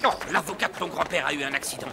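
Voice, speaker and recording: A man's voice: on the high side, rapid-fire, creaky. A man playing an indignant, grumbling, hot-tempered older man in a cartoon. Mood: bitter, wary. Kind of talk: dubbed cartoon acting. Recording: music behind the voice.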